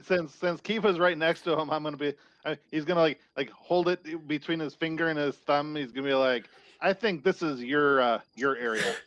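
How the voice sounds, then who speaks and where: A man's voice: on the high side, normal speed, fairly bright tone. Muffled lofi guy playing a tabletop game, casual, stumbles and repeats himself saying what his character does. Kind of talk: casual roleplay narrated in third person.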